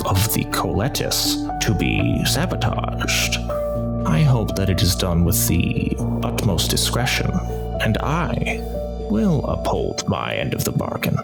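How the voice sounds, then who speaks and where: Man playing a powerful male company chief, very deep, gravelly, slow and formal, over loud music. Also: huge pitch swings, full character voice. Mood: cool, formal.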